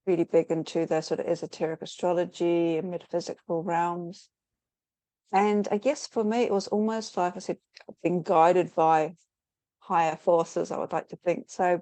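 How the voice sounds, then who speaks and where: A middle-aged woman speaks as an online interview guest. Her voice sits low and mellow, and the sound is dry and close. She wanders thoughtfully through her answers, full of fillers and false starts. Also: dry recording, low-quality recording. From New Zealand. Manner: rambling, thoughtful, many "sort of" fillers and restarts. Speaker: interview guest, spiritual teacher.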